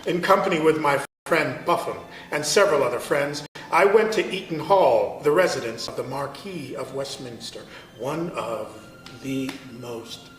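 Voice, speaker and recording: Male actor as impassioned abolitionist letter-writer, theatrical reading, higher-pitched, very animated, distant roomy sound. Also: close to an everyday voice. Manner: oratorical, emphatic, with dramatic pauses and swells. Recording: roomy, distant microphone, music.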